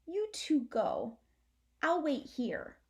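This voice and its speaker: A woman's voice: high voice, huge pitch swings, very slow, voice pushed up, full character voice. A woman voicing a timid, earnest young female possum in a children's storybook. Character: timid littlest young female possum, anxious but reasoning. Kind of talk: children's storybook read-aloud.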